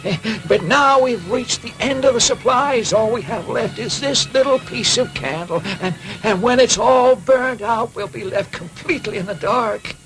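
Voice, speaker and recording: A man voicing a frail, loving old father in a cartoon dub, halting, tremulous and easily moved. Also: extremely high pitch, over music, rough recording. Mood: weary, recounting.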